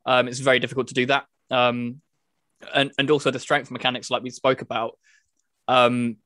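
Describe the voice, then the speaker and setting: A man's voice: medium pitch, level tone, normal speed, pushed and bright, no echo, studio quality. A man chatting casually as a podcast host, reviewing a video game.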